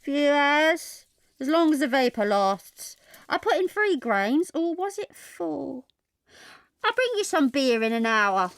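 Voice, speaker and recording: A Georgian hospital nurse in a radio drama. She speaks slowly in a fairly high voice, coaxing and offhand, as if every treatment were routine. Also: very animated, no echo, full character voice. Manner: matter-of-fact, coaxing. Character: brisk, offhand 18th-century hospital nurse, falsely reassuring. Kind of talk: audio drama dialogue.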